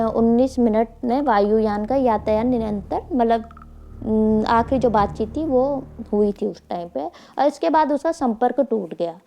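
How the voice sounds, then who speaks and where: High, mellow-voiced woman telling mystery stories. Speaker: storyteller, channel host. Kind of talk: narrating a mystery story for online video. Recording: faint background noise.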